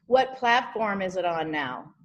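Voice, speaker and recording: A woman's voice: medium pitch, expressive, measured pace, fairly bright tone, lo-fi sound. A woman hosting a podcast over a video call, talking casually in a rambling, encouraging way and often searching for words. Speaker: podcast host.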